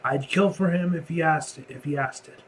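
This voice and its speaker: A man voicing a fiercely loyal, grim, plain-spoken enforcer from a comic book, in an earnest, halting delivery. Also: fairly high pitch, a little hiss or hum, lightly acted. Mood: solemn.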